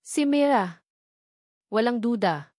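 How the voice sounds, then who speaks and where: A woman's voice: on the high side, animated, slow pace, a little mellow, no room sound. A woman with a Filipino accent reading a dramatic scripted story as an audiobook narrator, in a dry recording.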